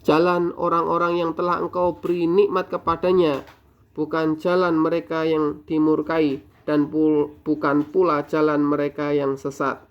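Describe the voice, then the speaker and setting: Slow-spoken man teaching recitation. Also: fairly high pitch, monotone, clean tone. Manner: slow drawn-out recitation, then quick explaining; addresses viewers as friends. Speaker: religious teacher. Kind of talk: explaining a scripture word by word. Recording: dull lo-fi recording.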